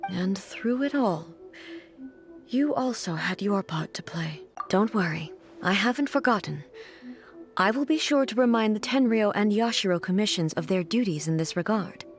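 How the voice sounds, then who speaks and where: A woman's voice: mid-range voice, animated, natural voice. A woman voicing a stern regal goddess-ruler. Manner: formal, measured speech. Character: regal, stern female god-ruler, composed and solemn. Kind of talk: video game dialogue. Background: soft game music.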